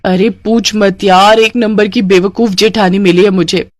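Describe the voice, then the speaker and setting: A woman voicing a haughty, boastful young daughter-in-law in a cartoon story, sharp and condescending. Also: mid-range voice, steady tone, measured pace, no echo, lightly acted. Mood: smug.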